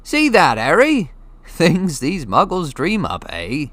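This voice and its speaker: A man reading an audiobook, voicing a gruff, hearty, friendly giant with rough, folksy, blustery speech. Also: on the high side, wildly swooping pitch, slow pace, fairly bright tone, no room sound, voice pushed up, heavily acted. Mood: hearty, wistful. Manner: folksy, blustery, dropped grammar. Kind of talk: audiobook character voice.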